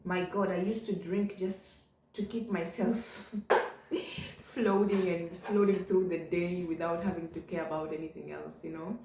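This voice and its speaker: A woman's voice: lowish voice, warm tone, roomy sound, far from the mic, a little hiss or hum. A middle-aged woman, talking candidly in a casual vlog and often repeating "you know". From Kenya. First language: Ugandan. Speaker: vlogger. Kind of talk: casual reflective monologue.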